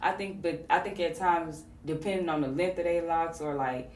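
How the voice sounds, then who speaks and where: A woman hosting a podcast, talking in a relaxed, conversational way with plenty of fillers. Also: low-pitched, normal speed, roomy sound, recorded from a distance. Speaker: podcast host. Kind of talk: casual conversation.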